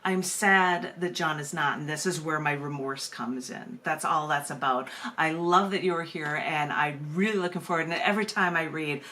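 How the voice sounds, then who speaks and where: An American woman with a slightly low voice speaks like a poet hosting an open mic. Her delivery is emphatic yet conversational, with halting repetitions as she goes. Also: bright, forceful tone, slight background noise. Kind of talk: spoken-word poetry reading and open mic hosting.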